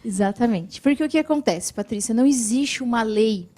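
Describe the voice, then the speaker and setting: A Brazilian woman answering viewers' questions about benefits in a TV interview, explaining patiently and clearly, often adding "né". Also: a little high, expressive, fairly quick, dark tone, no room sound. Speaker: social security lawyer guest. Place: TV studio.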